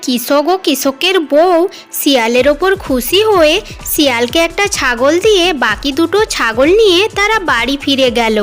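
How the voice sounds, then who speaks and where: A woman reads scripted narration for a children's cartoon story. Her voice is high, and music plays clearly behind her. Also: animated, normal speed.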